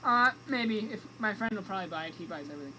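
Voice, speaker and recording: A woman's voice: medium pitch, expressive, rapid-fire, pushed and bright. A woman co-hosting a radio show, chatting casually through movie reviews in a hesitant, hedging way. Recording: noisy amateur recording.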